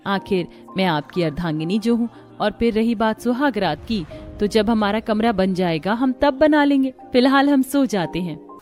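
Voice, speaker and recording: A woman's voice: medium pitch, moderate pace, darkish tone, soft background music, lightly acted. A woman playing a patient, dutiful young bride in a cartoon moral story, speaking politely and earnestly. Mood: gentle, reassuring.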